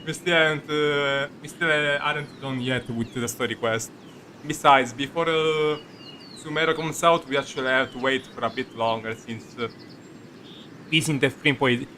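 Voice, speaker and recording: A man hosting a video game playthrough, talking casually in a halting, rambling, jokey way. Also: mid-range voice, fairly even intonation, bright, forceful tone, slight background noise. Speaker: gaming video host. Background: game music.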